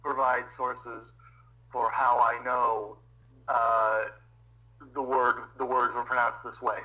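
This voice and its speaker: Bright-voiced man, rambling and self-correcting. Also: medium pitch, flat delivery, takes it slowly, poor audio. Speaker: debate participant answering questions. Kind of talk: casual online discussion.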